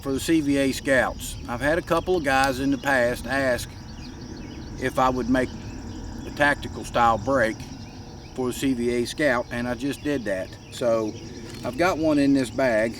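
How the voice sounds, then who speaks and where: Hoarse congested man demonstrating in his shop. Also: medium pitch, moderate pace, distant mic, slight background noise. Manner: folksy, plain-spoken, explains step by step. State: hoarse, congested with a head cold. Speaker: gunsmith demonstrating a product. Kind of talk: casual workshop demonstration.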